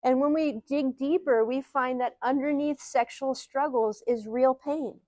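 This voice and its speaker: A middle-aged woman with an Ohio Midwestern accent gives a live teaching talk. Her voice sits somewhat high, and the sound is very dry with no room echo. She speaks earnestly, building her points with rhetorical questions to the audience. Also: a little slow, a little mellow. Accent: Ohio Midwestern American. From Ohio, USA. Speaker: speaker, psychologist.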